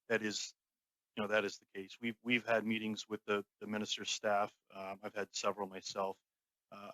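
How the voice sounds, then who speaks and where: Union guy answering formally, bit halting, lowish voice with a sharp edge. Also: no echo, low-quality recording. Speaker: union representative witness. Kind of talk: formal spoken testimony.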